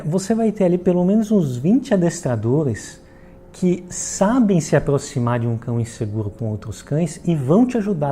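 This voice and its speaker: Brazilian male presenter for a franchise Q&A explainer; deeply mellow, slightly rough tone, teacherly delivery. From Salvador, Bahia, Brazil. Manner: didactic, with frequent check-in tags. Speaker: franchise founder answering questions. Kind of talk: explanatory Q&A talk to camera.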